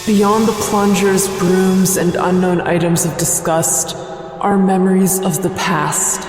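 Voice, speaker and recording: Slow, echoing low-voiced woman announcer. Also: level tone. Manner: solemn, measured. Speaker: intro announcer. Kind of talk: scripted ominous horror podcast introduction. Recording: echoey long reverb over music.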